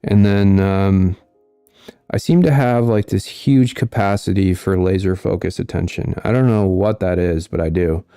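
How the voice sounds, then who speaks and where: A man hosting a casual commentary stream, reading out viewer comments in a monotone way. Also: deep voice, normal speed, clear, resonant tone, no echo, clean studio sound, faint music behind. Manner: monotone delivery. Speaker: host.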